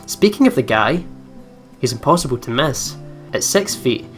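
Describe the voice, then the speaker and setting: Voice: medium pitch, sing-song, moderate pace. A let's play host reading game text aloud with casual commentary, over game music.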